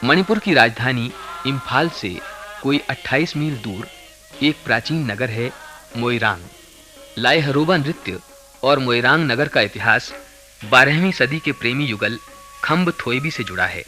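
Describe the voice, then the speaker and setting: A man narrating a radio play in a formal, measured, scripted style. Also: mid-range voice, expressive, pushed and bright, faint music behind. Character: male narrator presenting a legend and case history.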